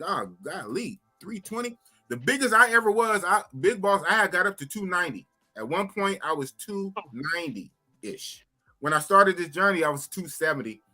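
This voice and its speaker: A man in his fifties hosting a live podcast, chatting loosely with his viewers and repeating himself. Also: on the high side. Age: 50s. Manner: animated, talks to chat, repeats phrases. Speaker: podcast host. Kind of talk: casual live-stream chat.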